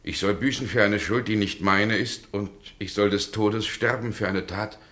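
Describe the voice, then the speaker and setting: An actor playing a haunted, resigned everyman in a radio play, grave and halting in manner. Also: lowish voice, fairly bright tone, lightly acted. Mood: sorrowful. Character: haunted, resigned everyman condemned for another's deed. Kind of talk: 1960 German radio play acting.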